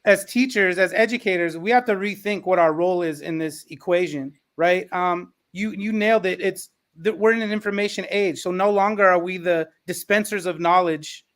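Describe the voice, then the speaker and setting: A man's voice: high voice, normal speed, few pauses, a bit bright. A man hosting a panel discussion, speaking in an affirming, encouraging manner. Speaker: host.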